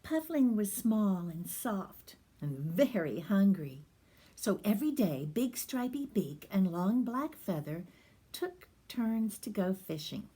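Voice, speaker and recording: Woman, reading teacher, picture book read-aloud, slow pace, gentle, soft, whispery at times. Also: medium pitch, sing-song, breathy edge.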